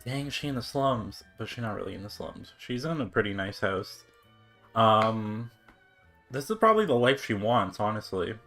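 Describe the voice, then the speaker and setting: A man's voice: on the low side, expressive, normal speed, fairly bright tone, faint music behind. A man livestreaming a game with casual, slangy, chatty commentary.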